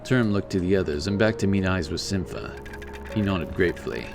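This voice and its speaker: A man's voice: sits low, lively intonation, brisk, vocal fry, music in the background. A man giving scripted narration for a fantasy audiobook, in the role of storyteller.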